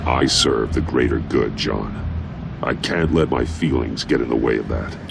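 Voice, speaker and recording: An actor playing a grim, stern masked vigilante in a video game, terse and cold, with a gravelly growl. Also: male voice, a bass voice, normal speed, background noise, full character voice. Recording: loud music under the voice.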